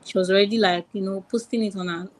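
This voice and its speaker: Young Nigerian woman, halting and repetitive. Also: mid-range voice, fairly even intonation, low-quality recording. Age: young adult. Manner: repeats phrases, many fillers and restarts. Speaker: guest. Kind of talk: podcast interview.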